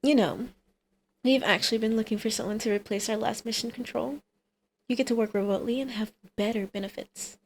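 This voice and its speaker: A woman's voice: fairly high pitch, fairly even intonation, a little fast. A woman playing a flirty female villain and doting mother in an ASMR roleplay, with a teasing, coaxing, sardonic manner. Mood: teasing, insistent.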